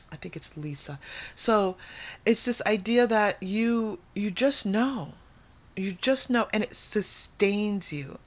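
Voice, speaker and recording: A woman giving a casual, intuitive tarot reading to viewers, thinking aloud conversationally and repeating phrases for emphasis. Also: on the low side. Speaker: tarot reader and host.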